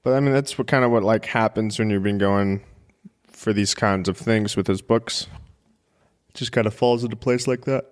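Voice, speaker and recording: A man co-hosts a casual podcast in a fairly low voice. He talks informally and jokingly, in loose, chatty banter. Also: steady tone, normal speed. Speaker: podcast co-host.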